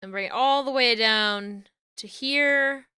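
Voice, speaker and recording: Young New Jersey woman streamer, bright and high, mutters as she works and squeals her greetings. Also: slow pace, smooth, no echo, studio quality. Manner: soft murmuring while working, bursting into loud squealing greetings. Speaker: VTuber streamer rigging a model. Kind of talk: casual live-stream talk while working.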